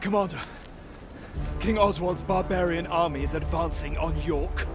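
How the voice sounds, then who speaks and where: Roman messenger male actor for a game: fairly high, urgent, formal military reporting under music. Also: moderate pace, lightly acted. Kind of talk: cinematic game drama acting. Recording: loud music behind the voice.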